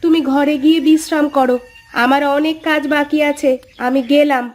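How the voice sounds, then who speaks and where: A woman's voice: high-pitched, steady tone, moderate pace, natural voice. A woman playing a caring village wife and doting mother in a dramatised moral story.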